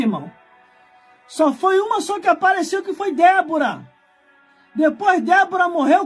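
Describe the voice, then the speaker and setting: A man in his forties, a preacher from Brazil, delivering a fiery sermon with shouted, scolding emphasis and heavy repetition. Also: very high voice. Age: 40s.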